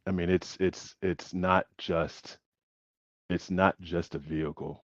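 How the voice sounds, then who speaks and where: Deep-voiced man in reflective chat. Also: level tone, takes it slowly, no echo, dull audio. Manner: thoughtful, laughs often, many restarts. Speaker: facilitator. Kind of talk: reflective support group talk.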